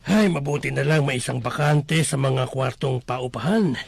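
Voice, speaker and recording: An actor playing an affable, deferential Filipino working man renting a room in a radio drama, chatty and chuckly, with a rough voice. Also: mid-range voice, moderate pace. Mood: cheerful, affable.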